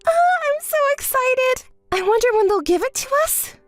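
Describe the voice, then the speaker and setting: A woman's voice: big pitch swings, a little slow, faint music behind, exaggerated voice. A woman voicing a spoiled, entitled young woman in a melodramatic story, whiny and demanding. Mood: giddy, demanding. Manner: whiny, demanding, shrill outbursts.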